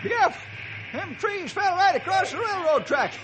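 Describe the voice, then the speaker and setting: An actor playing an excitable, folksy old-timer ranger sidekick with a high, strained voice in 1950s radio style. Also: male voice, unhurried. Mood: excited. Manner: folksy rustic phrasing. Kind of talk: 1950s radio adventure acting. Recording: noisy vintage 1950s radio recording.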